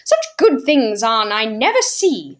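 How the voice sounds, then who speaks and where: A woman voicing a prim, fussy older landlady who sounds shrill and scandalised. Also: big pitch swings, slow pace.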